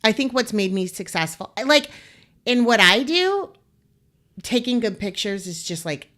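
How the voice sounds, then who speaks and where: Woman guest, loud and bright, gushy talker, lots of "like". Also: a little high, big pitch swings, moderate pace. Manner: enthusiastic, gushing, filler-heavy "like". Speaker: wedding photographer, guest. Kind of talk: casual interview chat.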